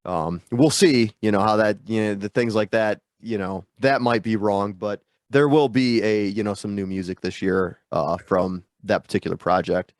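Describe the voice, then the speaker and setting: A man with a fairly low voice talks loosely over a video call, his nose stuffed up from a sinus infection. He rambles and pokes fun at himself, with plenty of fillers. The sound is dry and close. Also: moderate pace, few pauses, no room sound. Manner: rambling, self-deprecating, lots of fillers. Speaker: guest musician. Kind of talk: casual interview chat.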